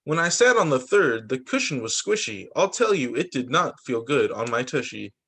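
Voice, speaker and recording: A man's voice: mid-range voice, sing-song, normal speed, fairly bright tone, husky, full character voice. A man voicing an upbeat, hopeful young boy in a children's storybook, speaking in sing-song rhyme. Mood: excited, hopeful.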